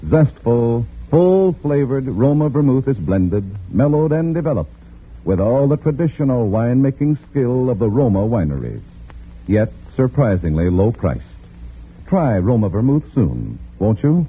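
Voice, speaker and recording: A man's voice: mid-range voice, sing-song, a little slow, husky. A man reading a polished 1940s radio advertisement as commercial announcer. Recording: thin phone-like old radio recording.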